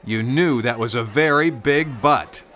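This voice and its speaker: A man's voice: medium pitch, a little slow, a bit bright. A man narrating a scripted story in a folksy, conversational way, with asides to the listener.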